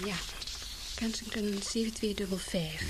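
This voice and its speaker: An actress playing a helpful, brisk newspaper secretary in a Dutch radio play, with polite telephone formality. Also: female voice, medium pitch, very noisy, natural voice.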